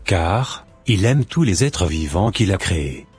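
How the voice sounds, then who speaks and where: Cartoon heavenly messenger, voiced by a man: stern, declamatory, very deep and husky, music underneath. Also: big pitch swings, unhurried, darkish tone, full character voice. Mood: solemn. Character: solemn male heavenly messenger, stern preacher of unity. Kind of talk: scripted cartoon preaching. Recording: music behind the voice.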